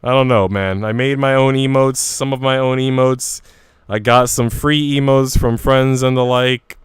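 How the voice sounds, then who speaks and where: A man's voice: mid-range voice, fairly even intonation, moderate pace, clear, resonant tone, dry recording, clean studio sound. A man livestreaming, chatting casually and informally with his viewers. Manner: informal, chatty, mildly profane. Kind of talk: casual chat and reading a visual novel aloud. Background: soft music.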